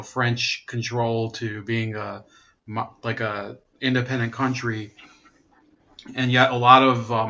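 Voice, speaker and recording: Male interviewer, monotone delivery, long rambling questions, slightly muffled recording. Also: medium pitch. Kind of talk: conversational interview.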